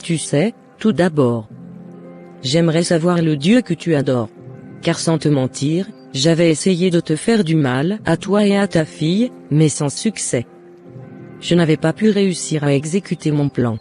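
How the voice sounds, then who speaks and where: Man voicing a high-pitched jealous neighbour woman. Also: unhurried, a little mellow, faint music behind, lightly acted. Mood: remorseful confession. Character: jealous, scheming woman neighbour turned remorseful.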